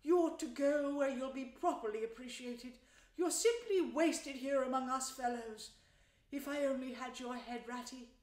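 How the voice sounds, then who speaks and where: A woman voicing an excitable, gushing small male mole in a storybook reading, effusive and exclamatory. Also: sits high, normal speed, roomy sound, pitched above the performer's own voice, lightly acted. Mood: mock admiring.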